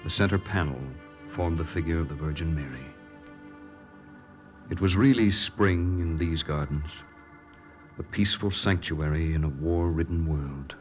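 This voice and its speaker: A low-pitched, earnest military man in a vintage 1940s radio drama. He speaks gravely and with measure, with music clearly playing behind him. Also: a little slow. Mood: reflective. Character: earnest male wartime underground lieutenant narrating his mission. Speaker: actor and first-person narrator.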